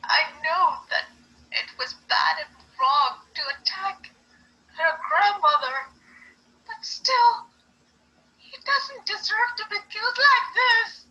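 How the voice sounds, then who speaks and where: A woman voicing a grieving mother wolf who pleads in a high, shaky, tearful voice. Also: slow pace, bright, forceful tone, lo-fi sound, faint music behind, exaggerated voice. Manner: high, shaky, crying pleas. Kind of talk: amateur reading of a courtroom tale.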